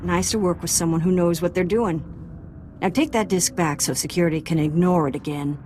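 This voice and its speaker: An actress playing a confident, folksy, wry undercity contact in a video game. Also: female voice, lowish voice, fairly even intonation, brisk, darkish tone, slight background noise, lightly acted. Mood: wry, friendly.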